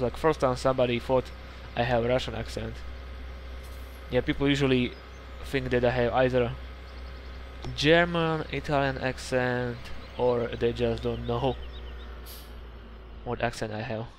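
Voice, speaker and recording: A man's voice: mid-range voice, rough recording. A young man with a Slovak accent, rambling casually as he plays a farming game. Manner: chatty, rambling, with laughs and mild swearing. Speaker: gaming YouTuber. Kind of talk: casual let's-play commentary. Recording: game sounds and engine noise behind.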